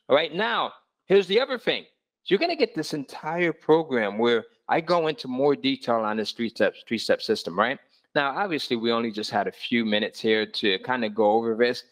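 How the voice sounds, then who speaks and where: A man's voice: fairly high pitch, fairly bright tone. A man hosting a live sales webinar, pushing viewers to join his course and reading out their comments, often tagging on "all right?". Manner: pushy, upbeat, reads out viewer comments. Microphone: voice-over on screen-share slides.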